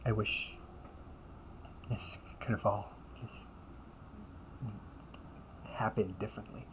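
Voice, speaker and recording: A man playing a villainous older brother, tender, remorseful and obsessively resolved, in a hushed, deliberate audio roleplay monologue. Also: lowish voice, gravelly, background noise. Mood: remorseful, wistful. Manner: slow, hushed, often whispering, deliberate pauses.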